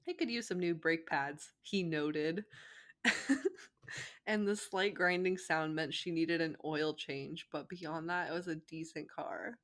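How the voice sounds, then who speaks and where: A woman's voice: deep voice, takes it slowly, a bit bright. A woman co-hosting a show, casually reading fan fiction aloud with giggly, joking asides.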